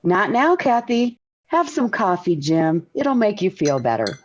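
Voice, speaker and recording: A woman's voice: medium pitch, very animated, measured pace, noisy background. A woman playing a patient, soothing housewife and mother in a 1940s radio sitcom. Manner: placating, motherly. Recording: dull 1940s radio recording.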